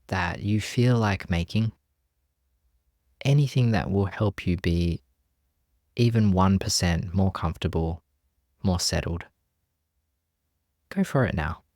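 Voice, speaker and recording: Man, meditation teacher, low pitch, gentle delivery, long pauses, dry sound. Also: dry recording. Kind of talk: guided meditation.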